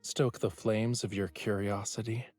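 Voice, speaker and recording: Low-voiced, teasing young lover. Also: male voice, flat delivery, unhurried, darkish tone. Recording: music behind the voice.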